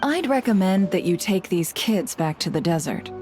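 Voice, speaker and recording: A woman's voice: lowish voice, expressive, normal speed, over music, close to an everyday voice. A woman playing a composed, shrewd guild leader in a video game, with a cool, casually assured delivery. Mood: hopeful, earnest. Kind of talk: dramatic video game voice acting.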